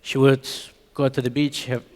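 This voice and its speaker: A man's voice: mid-range voice, little pitch movement, normal speed. A man from Mexico giving a conference talk on a stage microphone, hesitant with many ums and restarts. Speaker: conference speaker. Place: conference hall. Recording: reverberant hall.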